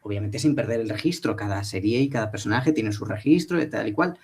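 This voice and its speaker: A man's voice: lowish voice, brisk, husky. Brief: man on a dubbing panel, emphatic, questions the audience. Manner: animated, emphatic, rhetorical questions. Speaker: dubbing professional. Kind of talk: panel talk at a professional meeting.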